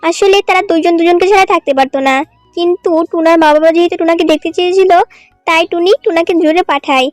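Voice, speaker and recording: A woman's voice: extremely high pitch, quick pace, no echo. A woman reading scripted narration for a children's cartoon story.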